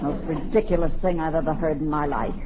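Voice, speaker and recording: A woman playing a nagging, sarcastic, domineering wife and mother in an old-time radio comedy, sharp-tongued and complaining. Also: low voice, steady tone, brisk, a crowd in the background, lightly acted. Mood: scolding, sarcastic. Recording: muffled, faintly noisy old radio recording.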